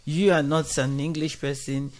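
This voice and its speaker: A man's voice: on the high side, fairly even intonation, moderate pace. A man hosting a radio talk show, speaking encouragingly and using religious phrasing. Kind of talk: casual radio chat. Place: radio studio.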